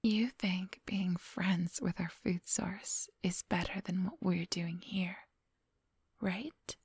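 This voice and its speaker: A woman's voice: lowish voice, fairly even intonation, slow, a little mellow, slightly rough, no echo, full character voice. A woman playing a coolly hypnotic, controlling female vampire in an ASMR roleplay, whispering breathily in a condescending, coaxing and commanding manner, close to the microphone. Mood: scornful, probing. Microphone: close, dry microphone.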